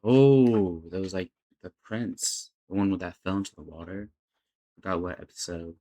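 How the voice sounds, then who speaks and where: A man with a low voice hosts a reaction video. He talks in a casual, unscripted way, commenting on what he is watching. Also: normal speed, warm tone.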